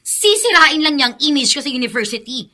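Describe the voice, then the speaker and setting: Shrill, haughty young rich girl. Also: big pitch swings, a little fast, bright, forceful tone, full character voice. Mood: indignant. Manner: snobbish, indignant, English-peppered slang. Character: spoiled, haughty young female university student, spiteful. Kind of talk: Filipino radio drama acting.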